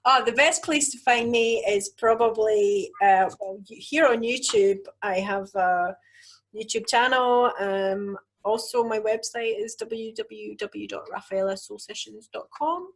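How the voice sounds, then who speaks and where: A woman co-hosts a friendly video-call chat about healing practice. Her voice is a little high and breathy-husky. She talks in a relaxed, easygoing way. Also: unhurried, poor audio. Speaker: quantum healing practitioner, co-host. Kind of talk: casual chat to viewers.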